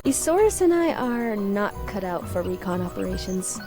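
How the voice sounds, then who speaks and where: A woman voicing a composed, learned priestess in a fantasy video game, speaking in a measured, formal and assertive way. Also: mid-range voice, very animated, over music. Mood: firm. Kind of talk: English video game cutscene acting.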